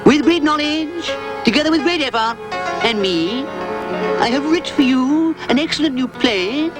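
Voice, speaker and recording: A man voicing an excitable, pompous male cartoon dog who fancies himself a playwright, speaking in a hammy, theatrical way. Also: extremely high pitch, normal speed, loud music behind the voice, voice pushed up, poor audio.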